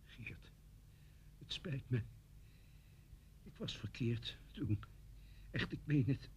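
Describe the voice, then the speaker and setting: An actor playing a frightened middle-aged male schoolteacher in a radio play, pleading and halting in his speech. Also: medium pitch, animated, slight background noise. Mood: fearful, pleading. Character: terrified, pleading male schoolteacher held at gunpoint.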